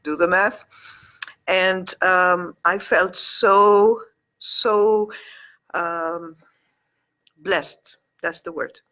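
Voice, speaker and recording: A woman in her sixties from Egypt talks slowly and gently as a talk show guest. Her voice is bright and clear. She pauses often between words. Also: lowish voice, fairly even intonation. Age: 60s. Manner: gentle, halting, with many pauses. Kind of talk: casual chat.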